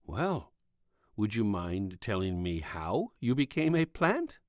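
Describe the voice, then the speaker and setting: Man reading as a gentle doctor, lively pitch swings. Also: no echo, clean studio sound. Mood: puzzled, patient. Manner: gentle, probing, measured. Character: gentle, puzzled military doctor questioning a patient. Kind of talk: audiobook character dialogue.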